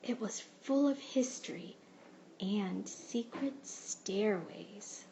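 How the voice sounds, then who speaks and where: A woman reading a picture book aloud like a teacher, with expressive, measured storytelling. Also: mid-range voice, slight background noise, poor audio. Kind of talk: storybook read-aloud narration.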